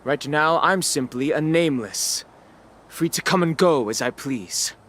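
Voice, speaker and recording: An actor playing a stern, righteous spear-wielding wanderer in a video game, with a grave, formal, measured delivery. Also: male voice, fairly high pitch, lively intonation, a bit bright. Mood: calm, determined. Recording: game music behind the voice.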